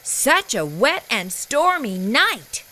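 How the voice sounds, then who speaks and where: A woman reading a children's storybook aloud with an animated, deliberate delivery. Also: fairly high pitch, heavy background noise. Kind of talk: scripted storybook narration, read aloud.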